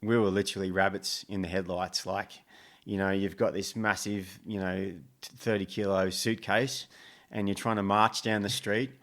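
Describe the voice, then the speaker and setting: A man's voice: sits low, moderate pace. An Australian man in his fifties, a former soldier, chatting in an interview with frequent ums and restarts. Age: 50s. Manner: relaxed, many ums and restarts. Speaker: guest, former special forces soldier. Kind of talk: casual interview. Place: living room at home.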